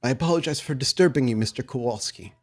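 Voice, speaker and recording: A man's voice: mid-range voice, quick pace, lightly acted. A man voicing an old, stooped, loyal manservant with a formal, deferential and understated manner in a tabletop roleplaying game. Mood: apologetic, formal.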